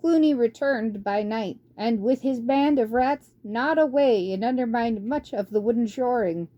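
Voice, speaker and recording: A woman doing a squeaky, whispery old mouse scholar. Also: a little slow, mellow, lo-fi sound, natural voice. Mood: reciting. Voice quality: squeaky, barely above a whisper. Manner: doddering, muttering hmm and tutting. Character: ancient male mouse scholar, fussy and forgetful. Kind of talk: audiobook character voice.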